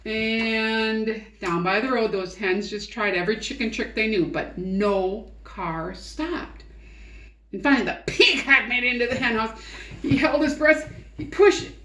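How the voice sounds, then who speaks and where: A woman teacher reading a storybook aloud to young children, expressively, pausing to ask questions and explain things simply. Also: on the high side, fairly bright tone. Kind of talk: interactive storybook read-aloud and lesson. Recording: echoey, roomy sound.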